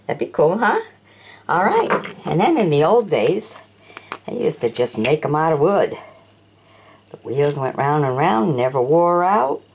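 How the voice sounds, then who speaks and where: Older woman, low gravelly voice, slow delivery, chatty and admiring, faint background hiss. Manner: chatty, admiring, asks the viewer questions. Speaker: toy collector showing auction finds. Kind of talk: casual show-and-tell narration. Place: indoors at a sunny windowsill.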